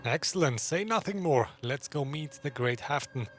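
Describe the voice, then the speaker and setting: Lively male gamer commentating casually. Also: fairly high pitch, moderate pace, faint music behind. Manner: musing, reacts aloud. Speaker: gaming YouTuber. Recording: noisy game audio behind the voice.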